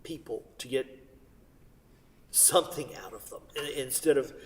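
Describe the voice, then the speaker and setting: A man acts out a wealthy father who wants to control things and is full of regret. He speaks in a mid-pitched voice as if in a staged drama. The sound is distant, with the long echo of a hall. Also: moderate pace, close to an everyday voice. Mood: regretful. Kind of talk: staged play reading. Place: theatre hall. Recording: distant mic in echoey hall.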